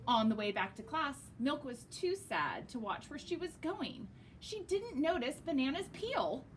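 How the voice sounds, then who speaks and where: Woman doing storytime, higher voice, super swoopy and expressive, noisy recording. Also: a little slow. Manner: very expressive, emphatic. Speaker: storyteller reading a picture book. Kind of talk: animated read-aloud narration for children.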